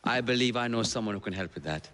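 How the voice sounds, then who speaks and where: An actor playing a gentle, very polite Victorian gentleman. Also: fairly low pitch, expressive, quick pace, big-room sound, a little hiss or hum. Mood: courteous. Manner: soft-spoken, very polite.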